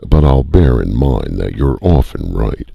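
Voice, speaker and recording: A man's voice: a bass voice, sing-song, measured pace, vocal fry, husky, no room sound, muffled recording, exaggerated voice. A man voicing a male ship's doctor who speaks carefully and reflectively. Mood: calm. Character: calm, dignified male ship doctor.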